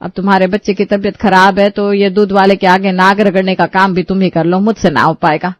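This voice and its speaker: A woman's voice: medium pitch, little pitch movement, brisk, no echo, natural voice. Storybook role: irate elderly Indian matriarch played by a woman, emphatic, grumbling and domineering, in a dry recording. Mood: bitter grumbling. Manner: scolding, emphatic, complaining. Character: irate, bossy, sharp-tongued elderly Indian mother-in-law. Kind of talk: animated moral storybook acting.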